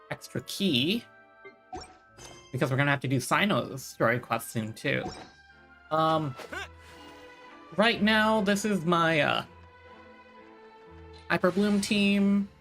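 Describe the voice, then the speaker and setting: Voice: mid-range voice, fairly bright tone. Casual game streamer, music behind. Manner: informal, rambling, thinking aloud.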